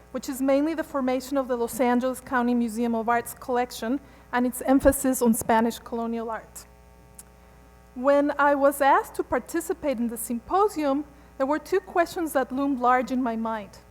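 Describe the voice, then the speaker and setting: A high-pitched woman delivers a formal academic lecture, reading her prepared paper at a steady, measured pace. Her voice rings out with a long reverb tail from the hall around her. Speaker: museum curator giving a lecture.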